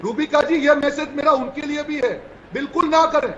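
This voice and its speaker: Guy on a tv debate panel, very high voice, keeps repeating to hold the floor, muffled line. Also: steady tone, fairly quick, very noisy. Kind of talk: heated TV debate argument.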